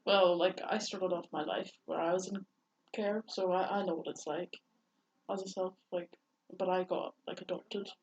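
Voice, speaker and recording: A young woman co-hosting a podcast who speaks quietly and haltingly about her own past. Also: fairly low pitch, fairly even intonation, husky. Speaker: podcast co-host. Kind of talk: candid personal chat. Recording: dull, lo-fi sound.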